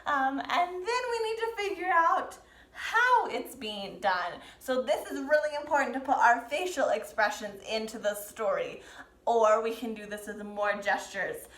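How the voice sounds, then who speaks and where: A woman teaching dance to young learners, explaining and demonstrating step by step with gentle, encouraging prompts. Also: on the high side, lively intonation, unhurried. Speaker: dance teacher leading a children's pantomime lesson. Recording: roomy, echoing sound.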